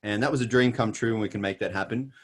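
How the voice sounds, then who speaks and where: A middle-aged man with an Australian accent, speaking in a lowish voice. He tells his story earnestly and emphatically, lightening it with self-deprecating jokes, over a video call. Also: fast talker. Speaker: presenter. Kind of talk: scripted motivational presentation.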